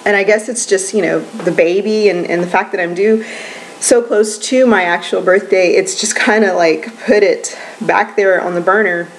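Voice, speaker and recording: A woman's voice: mid-range voice, fairly even intonation, moderate pace, a little hiss or hum, low-quality recording. A woman in her twenties chatting casually in a home vlog, rambling with lots of ums and little laughs. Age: 20s. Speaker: vlogger.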